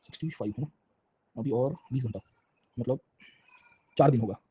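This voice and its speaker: A man's voice: mid-range voice, normal speed, dark tone, husky, highs cut off. A man with an Indian accent giving an informal, colloquial history lecture, mixing Hindi and English and often checking in with short tags addressed to his listeners as sir. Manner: colloquial, illustrating points with little acted anecdotes.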